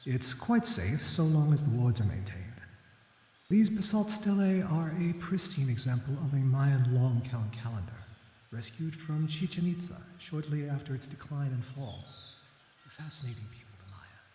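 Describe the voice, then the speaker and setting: A man playing a courteous, scholarly library keeper in an ASMR roleplay, with formal, deferential, measured delivery. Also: medium pitch, expressive, darkish tone, slight background noise, natural voice. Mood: informative. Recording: echoey hall reverb.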